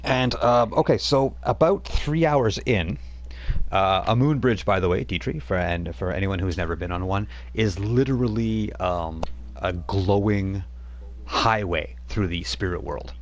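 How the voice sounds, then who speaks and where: A man's voice: low voice, unhurried, a little hiss or hum. A man narrating a tabletop game as game master, rambling with many fillers and restarts.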